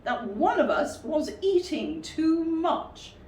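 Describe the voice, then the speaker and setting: Woman voicing a fussy, emphatic storybook rabbit, swooping pitch. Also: very animated, slow pace, a little mellow, exaggerated voice. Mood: tactful reproach. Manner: emphatic, matter-of-fact scolding. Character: Rabbit, a brisk, stern, fussy male rabbit. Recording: roomy, distant mic with faint noise.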